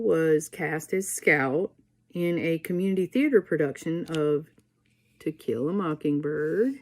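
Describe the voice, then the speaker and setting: Middle-aged woman at a poetry reading, takes it slow, voice a bit low. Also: a little mellow. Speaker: poet. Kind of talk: poetry reading with informal spoken introduction.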